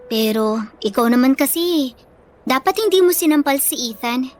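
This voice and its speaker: A woman's voice: high-pitched, lively intonation, normal speed, faint music behind. A woman playing a meek but steadfast bullied teenage schoolgirl in a radio drama, pleading and earnest, with a Filipino accent. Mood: apologetic, uneasy.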